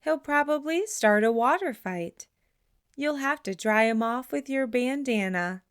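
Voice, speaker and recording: Woman, children's book read-aloud, expressive, slows for the ending lines, fairly high voice, dry close sound. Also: smooth, no room sound. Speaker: storybook reader.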